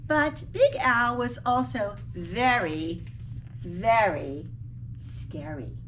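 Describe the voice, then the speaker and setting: A woman's voice: mid-range voice, animated, extremely slow, recorded from a distance, a little hiss or hum. A woman teacher reading a children's picture book aloud expressively, with dramatic emphasis on key words and little asides to her listeners.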